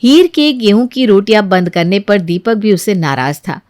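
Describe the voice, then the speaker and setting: A woman's voice: mid-range voice, sing-song, moderate pace, a little mellow. A woman narrating a scripted family moral story as a storyteller.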